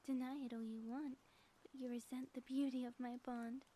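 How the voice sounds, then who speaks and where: A woman's voice: sits high, flat delivery, moderate pace, warm tone. A woman playing a theatrical, gloating villainess in a scripted ASMR audio roleplay, with florid, melodramatic delivery. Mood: teasing, gloating. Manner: grandiose, florid, melodramatic phrasing.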